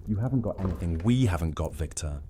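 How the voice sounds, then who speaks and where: A man voicing a formal, proper male Jinn vampire in a fantasy audio drama, speaking in a measured, reproachful way. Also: sits low, lively intonation, warm tone, faint music behind.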